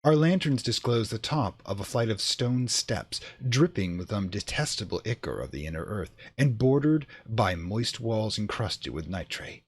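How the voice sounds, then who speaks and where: A man narrating a horror radio play in a grave, theatrical, deliberate manner. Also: fairly low pitch, sing-song, breathy edge, no room sound. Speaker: narrator and performer.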